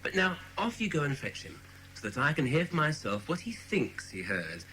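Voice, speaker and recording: A man playing a sceptical, patient but condescending British father in a children's audiobook drama, speaking in a measured way. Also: a little high, sing-song, pushed and bright, slight background noise, low-quality recording. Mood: dismissive.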